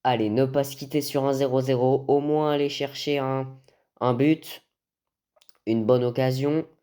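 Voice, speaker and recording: Male gaming host, medium pitch, hesitant football game commentary with frequent mid-phrase pauses. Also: steady tone, dark tone.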